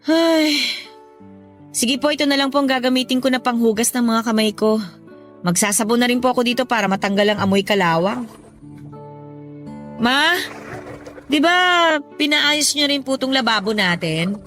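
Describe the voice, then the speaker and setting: High-pitched burdened young daughter, acted by a woman. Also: normal speed, long pauses, lightly acted. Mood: restrained concern. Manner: respectful but reproachful. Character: burdened, dutiful young adult daughter, weary and resentful. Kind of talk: Tagalog radio drama acting. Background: soft music.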